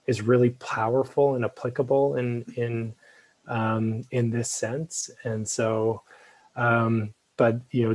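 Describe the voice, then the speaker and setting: Male panelist, lowish voice, halting delivery full of ums. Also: rough recording. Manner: hesitant, many ums and you-knows. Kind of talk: personal introduction at a panel.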